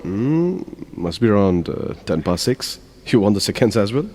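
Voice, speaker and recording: An actor with an Indian English accent playing a tired young software professional in an audio drama, mumbling sleepily to himself. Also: male voice, on the low side, big pitch swings, moderate pace. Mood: wry. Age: young adult.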